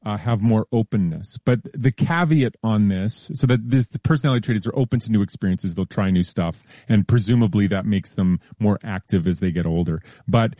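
A man explaining science news in a relaxed, careful way during a casual chat, with frequent hedges and restarts. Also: on the low side, a little fast, few pauses, no echo. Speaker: science columnist.

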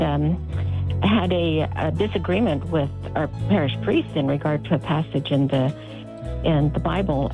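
A woman's voice: low voice, mellow, poor audio. A woman calling in to a radio show, telling her story candidly and poking fun at herself. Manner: candid, self-deprecating, laughs at herself. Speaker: radio caller. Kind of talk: call-in testimony.

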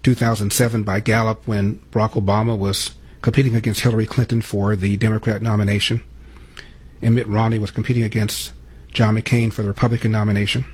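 A man's voice: on the low side, flat delivery, fairly quick, darkish tone, husky. An American man hosting political commentary, delivering an opinionated monologue with emphatic rhetorical questions.

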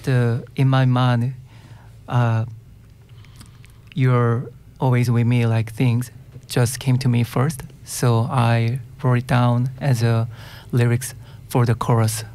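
Man, Korean accent, native Korean speaker, very slow pace, mid-pitched, hesitant word-searching, singer-songwriter interview guest. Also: flat delivery, a little mellow, clear, resonant tone. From South Korea. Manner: hesitant, searching for words in non-fluent English. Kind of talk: casual interview answers.

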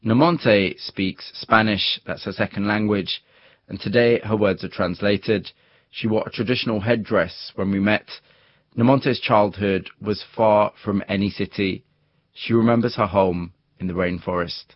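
Male radio host, lowish voice, calm scripted narration, muffled dry studio audio. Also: no echo. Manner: measured, storytelling. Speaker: radio interviewer and presenter. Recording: dull muffled broadcast audio.

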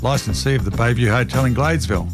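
A man reading a scripted radio advertisement for his pub in a brisk, salesy way. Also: mid-range voice. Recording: radio ad over loud music.

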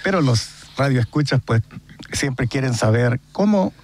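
A man's voice: medium pitch, lively intonation, unhurried, a little mellow. A man co-hosting a radio interview, asking questions with many hesitant fillers. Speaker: radio co-host.

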